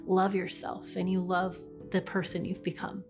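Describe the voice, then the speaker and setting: Woman, 30s, interviewee, earnest and even, faint music bed. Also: lowish voice, moderate pace. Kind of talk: reflective interview.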